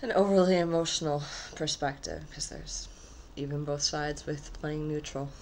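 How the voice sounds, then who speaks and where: A woman with a low, slightly husky and nasal voice chats to her camera at home. She rambles from one thought to the next and often leaves sentences unfinished, with a faint hiss in the background. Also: fairly even intonation, normal speed, a little mellow. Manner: rambling, trailing off mid-thought. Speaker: vlogger. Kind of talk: casual rambling vlog. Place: her own apartment.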